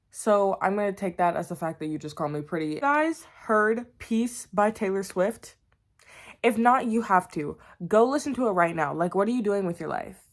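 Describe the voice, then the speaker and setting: A young adult woman chatting casually to camera about her writing, bubbly and rambling. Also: medium pitch. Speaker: writer vlogger.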